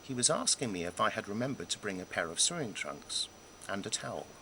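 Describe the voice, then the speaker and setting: A man reading his own humorous memoir aloud in a wry, self-deprecating way, with dramatic pauses and emphasis. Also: fairly low pitch, very animated, creaky, background noise. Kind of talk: scripted narration of a humorous memoir.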